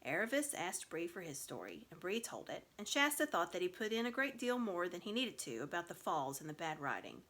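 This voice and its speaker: Bright, expressive woman reading a story. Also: fairly low pitch. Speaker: audiobook reader.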